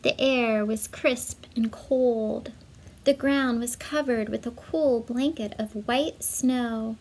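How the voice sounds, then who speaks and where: A woman reading a children's story aloud in an expressive, measured way, with asides to young listeners. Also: fairly high pitch, husky, rough recording. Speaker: storybook reader for schoolchildren.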